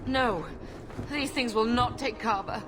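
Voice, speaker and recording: A woman's voice: a little high, measured pace, natural voice. An actress playing an anxious, emotional woman in a war-torn fantasy video game, with an earnest delivery. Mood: grieving, angry.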